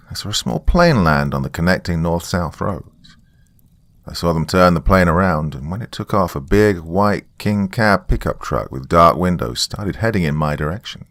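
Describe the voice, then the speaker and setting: A man's voice: low-pitched, a bit bright, dry recording. A man reading a scripted first-person story as a dramatic narrator. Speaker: narrator of horror stories.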